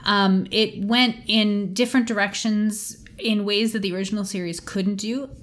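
A woman's voice: mid-range voice, fairly even intonation, moderate pace, slight background noise. A woman co-hosting a podcast in a casual, opinionated chat, with an emphatic, playfully argumentative manner. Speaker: podcast co-host.

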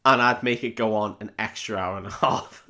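A man's voice: on the low side, fairly even intonation, fairly quick, bright, forceful tone. A man co-hosting a film podcast in casual chat, with a jokey, sweary manner.

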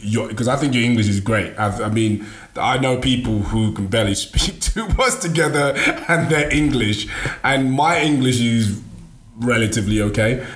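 Man from nigeria, casual chat, stop-start delivery, echoey room. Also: medium pitch. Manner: halting, many restarts and fillers, laughs often.

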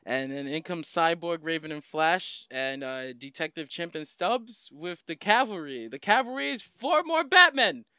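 A man's voice: on the high side, animated, normal speed, few pauses, sharp tone, clear, resonant tone, dry recording, clean studio sound. A man co-hosting a podcast, talking casually and slangily like an enthusiastic fan recapping comics. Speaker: podcast co-host.

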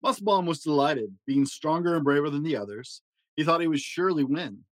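A man's voice: brisk, dry recording, poor audio. Man reading a children's story, dry mic. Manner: gentle storytelling with varied pitch for emphasis. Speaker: storybook reader.

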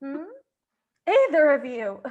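A woman plays an exasperated mother in an improvised comedy. Her voice is very high and her delivery theatrical. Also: very animated, takes it slowly, phone-quality sound, exaggerated voice. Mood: indignant. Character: indignant champagne-offering mother of a grown son.

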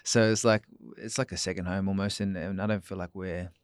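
Deep-voiced young man chatting. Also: brisk, smooth. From New Zealand. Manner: relaxed, many fillers, laughs easily. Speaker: podcast guest. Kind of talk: casual interview chat.

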